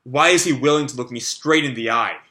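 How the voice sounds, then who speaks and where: A man reading aloud a comic, voicing a guarded, troubled teenage boy who speaks bluntly and quietly. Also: mid-range voice, a little fast, lightly acted.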